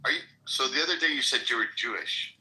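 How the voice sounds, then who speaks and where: Guy running an audio chat room, talks fast and blunt, bright tone. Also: medium pitch, animated, poor audio. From Africa. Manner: fast, blunt, informal questioning. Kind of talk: casual group chat moderation.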